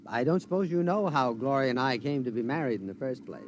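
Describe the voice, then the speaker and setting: An actor playing a secretive henpecked husband giddy with new freedom, chummy and confiding, in a 1950s radio drama. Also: male voice, mid-range voice, animated, fast talker, natural voice. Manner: chummy, confiding, hesitant repetitions. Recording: dull 1950s radio recording, faint noise.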